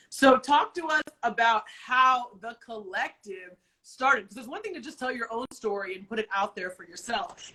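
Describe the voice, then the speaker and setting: Interview host, woman from the US, bright tone, animated and chatty. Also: medium pitch, rough recording. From United States. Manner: animated, laughs while retelling stories. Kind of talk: conversational interview.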